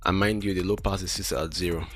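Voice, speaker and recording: Deep-voiced man with a lisp. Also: fairly even intonation, fast talker, soft background music. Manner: stutters and restarts words; rambling, self-deprecating chat. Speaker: streamer. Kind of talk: casual solo chat while testing mic settings.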